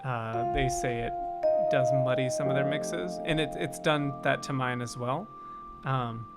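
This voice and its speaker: A man's voice: mid-range voice, fairly even intonation, unhurried. A man casually teaching music production on a live stream, thinking aloud and muttering short asides to himself as he works. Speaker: music composer and streamer teaching game music writing. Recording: loud instrumental music over the voice. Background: loud instrumental game music being mixed, playing during talk.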